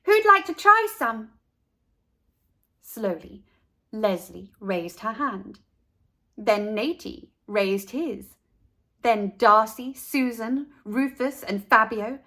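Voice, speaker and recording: Woman doing kids storytime narration, slow and bright, expressive w dramatic pauses. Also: fairly high pitch. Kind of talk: expressive children's read-aloud.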